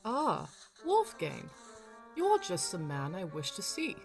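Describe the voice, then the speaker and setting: A woman as a stern, animated court valet. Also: medium pitch, takes it slowly, creaky, soft background music, heavily acted. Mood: stern, insistent. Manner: formal, imperious. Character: stern, officious male court valet to an archbishop. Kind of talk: period audio drama acting.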